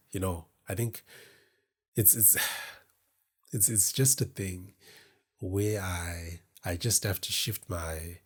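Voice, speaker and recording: A deep, low-pitched man with a husky, grainy edge speaks slowly to his camera. He rambles in a reflective, self-deprecating way, circling back to his thoughts as he goes. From Zimbabwe. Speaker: podcast host. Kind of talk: casual solo talk to camera. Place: indoors in front of a camera.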